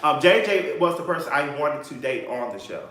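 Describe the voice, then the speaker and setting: A man's voice: fairly high pitch, lively intonation, brisk, a bit bright, heavy reverb, a little hiss or hum. A man in his late twenties, a podcast guest, talking candidly and bluntly in casual interview chat and swearing freely. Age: 20s.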